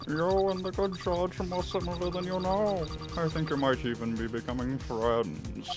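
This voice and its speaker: A man's voice: fairly high pitch, fairly even intonation, moderate pace, natural voice. A man playing a mocking, sarcastic kidnapper mastermind in a video game fan dub. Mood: smug. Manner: mocking, sing-song sarcasm. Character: taunting, smug male mastermind, a masked kidnapper on the phone. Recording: music playing under the voice.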